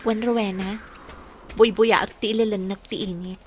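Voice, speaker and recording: A woman's voice: mid-range voice, fairly even intonation, moderate pace, a little mellow, a little hiss or hum, lightly acted. A woman playing an earnest, sorrowful young village woman who hopes for peace, acted in an Ilocano radio drama with an Ilocano accent. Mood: wistful, hopeful.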